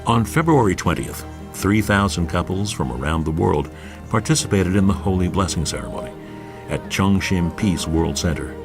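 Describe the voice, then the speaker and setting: A man's voice: low voice, lively intonation, moderate pace, over music. A man reading scripted narration for a documentary.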